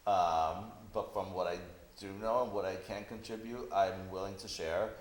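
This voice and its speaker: A man's voice: deep voice, fairly even intonation, normal speed. A man chatting casually as a guest, in a conversational way full of small checking phrases. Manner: conversational, peppers speech with "you know". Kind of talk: casual chat. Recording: roomy echo with clear background hiss.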